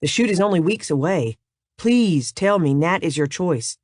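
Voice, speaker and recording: A man's voice: on the high side, lively intonation, normal speed, darkish tone, dry recording, studio quality. A man voicing a reserved businessman of few words who talks in a plain, reserved way.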